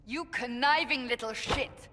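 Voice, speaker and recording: A woman's voice: measured pace, close to an everyday voice. An actress playing a stern, anguished woman warrior in a video game, intense and bitter, recorded in an echoing hall. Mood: furious, accusing. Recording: echoing hall with rumbling background noise.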